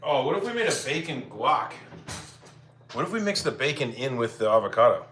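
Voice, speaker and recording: A man's voice: medium pitch, very animated, a little fast, fairly bright tone, faint music behind. A man hosting a live cooking stream, chatting informally and thinking aloud as he talks to viewers. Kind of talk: casual chat with viewers while cooking. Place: home kitchen. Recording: clear background noise, clattering dishes and cutlery.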